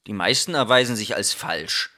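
An actor playing a bitter, lamenting chorus voice in a theatrical verse radio drama. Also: male voice, fairly low pitch, normal speed, sharp tone. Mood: bleak, bitter.

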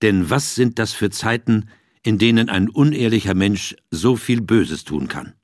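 A man's voice: on the low side, unhurried, no echo, clean studio sound. A man reading a scripted, explanatory audiobook text. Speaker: audiobook reader.

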